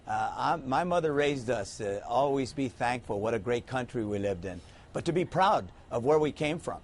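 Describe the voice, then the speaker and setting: A man speaks as a mayor on a TV panel. He uses a mid-range voice and talks in a measured way with frequent hesitations. A faint room hum sits behind him. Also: mid-range voice, lively intonation. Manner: measured, with many "uh" pauses. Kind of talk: TV panel discussion.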